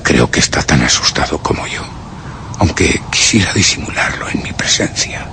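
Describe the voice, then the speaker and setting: A man with a Castilian accent playing a haunted, obsessive gentleman who narrates a horror radio play in a grave, suspenseful, theatrical way. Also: rumbling low, animated, normal speed, whispered. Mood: stunned dread. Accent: Castilian Spanish. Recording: dramatic music behind the voice.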